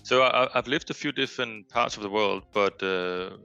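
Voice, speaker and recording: A man's voice: lowish voice, moderate pace, creaky, pushed and bright, clean tone, no room sound, dull audio, soft background music. A middle-aged man from Denmark with a Danish accent, a guest on a podcast interview, speaking thoughtfully with many fillers.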